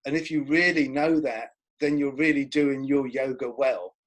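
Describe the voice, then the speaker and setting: A man's voice: mid-range voice, fairly even intonation, normal speed. A man chatting casually and reflectively as an interviewer, with informal, loosely phrased remarks.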